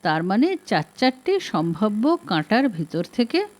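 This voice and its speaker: A woman's voice: medium pitch, very animated, a little slow, darkish tone. A woman reading an audiobook as an earnest younger male detective who speaks politely and explains things respectfully. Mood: earnest reporting.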